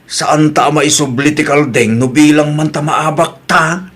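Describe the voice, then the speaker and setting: A man's voice: on the high side, fairly even intonation, a little slow, fairly bright tone. A man with a northern Philippine accent playing an older, evasive, defeatist gambling father in an audio drama. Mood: doubtful.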